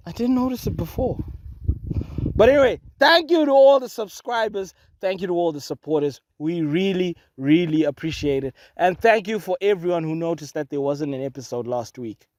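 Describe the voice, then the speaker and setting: A man's voice: high voice, animated, normal speed, darkish tone, dry recording. A man from Zimbabwe co-hosting a casual comedic talk show outdoors, chatty and given to riffs. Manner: chatty, laughing, goes on riffs. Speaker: podcast co-host. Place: outdoors in open dry field.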